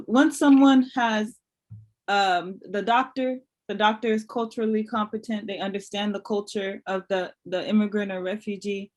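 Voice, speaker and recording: Encouraging interviewer with mid-pitched voice. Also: darkish tone. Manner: encouraging, frequent short affirmations.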